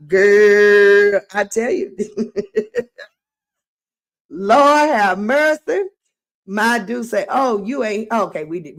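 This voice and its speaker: A middle-aged woman with a slightly raspy voice, hosting a casual late-night livestream and reading comments aloud in a sassy way. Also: a little high. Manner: sassy, drawling, reads chat aloud. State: voice getting raspy. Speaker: livestream host.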